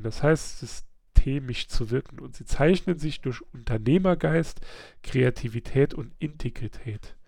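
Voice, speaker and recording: A man hosting a casual book club podcast, a little stuffy from a slight cold, chatty and quick to laugh, with many filler sounds. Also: medium pitch, vocal fry, darkish tone, dry recording, clean studio sound. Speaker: podcast host.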